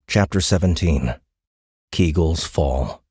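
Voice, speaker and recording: A man's voice: rumbling low, slow, a little mellow, breathy edge, no echo, studio quality. A man narrating an audiobook, reading scripted third-person story prose.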